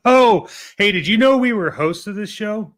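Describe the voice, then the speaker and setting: A man's voice: sits high, lively intonation, measured pace, a bit bright, no echo. A man co-hosting a radio talk show with hyped, playfully boastful and effusive banter. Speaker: podcast co-host.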